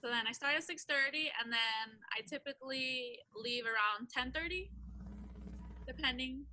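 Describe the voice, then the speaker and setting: A woman's voice: sits high, moderate pace, pushed and bright, low-quality recording. A woman of twenty-two, a college student, answering questions casually and a bit hesitantly as an interview guest. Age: 20s.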